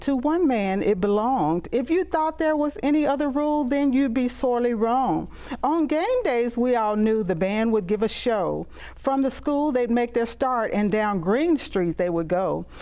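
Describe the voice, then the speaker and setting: Mellow middle-aged Southern US woman poet. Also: mid-range voice, poor audio. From Mississippi, United States. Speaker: poet and author reading own poems. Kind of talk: poetry recital with spoken introductions.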